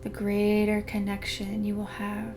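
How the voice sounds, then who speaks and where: A woman guiding a meditation in a soothing, unhurried way, hushed in places. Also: medium pitch, completely flat delivery. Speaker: meditation guide. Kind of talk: guided meditation narration. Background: background music.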